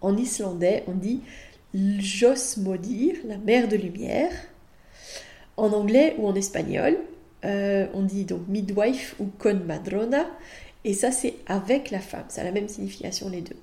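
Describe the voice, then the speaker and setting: Mellow French woman podcaster in a roomy space. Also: mid-range voice, unhurried. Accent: French (France). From France. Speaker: podcast host and midwife. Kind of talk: podcast monologue.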